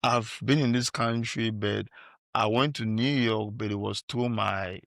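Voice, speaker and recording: A man's voice: fairly low pitch, steady tone, measured pace, vocal fry, dry recording, studio quality. A man in his forties, a podcast guest chatting casually and affirming the host often. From Cameroon. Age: 40s. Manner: warm, attentive listener with constant short affirmations. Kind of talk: casual conversational interview.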